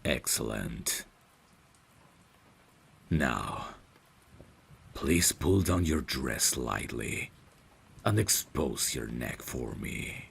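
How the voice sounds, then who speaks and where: Guy doing a devoted vampire butler, asmr roleplay, super low gravelly voice, unhurried, polite and gentle. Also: very animated, slight background noise, full character voice. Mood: reassuring, solemn. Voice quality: deep, gravelly, hushed with breathy whispers. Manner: slow, formal, gentle, deferential. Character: devoted, courteous male vampire butler, tender and protective. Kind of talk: ASMR roleplay audio drama.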